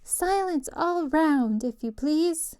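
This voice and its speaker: A woman's voice: high-pitched, lively intonation, slow pace, darkish tone, voice pushed up. A woman voicing a self-important, easily ruffled storybook mouse, speaking in a pompous, politely cross way. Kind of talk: storybook character voice.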